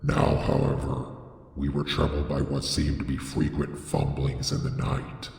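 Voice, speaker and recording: A man reading a horror story as a scripted, dramatic narration, with a solemn and deliberate theatrical delivery. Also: extremely low pitch, very animated, gravelly, a little mellow. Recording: echoey, long reverb on the voice.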